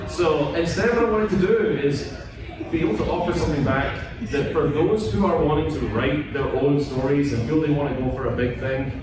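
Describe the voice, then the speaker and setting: A man with a Scottish accent, talking casually as an author on a convention panel, rambling and self-deprecating. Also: medium pitch. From Scotland. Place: convention hall. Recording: echoey hall, distant mic, noisy.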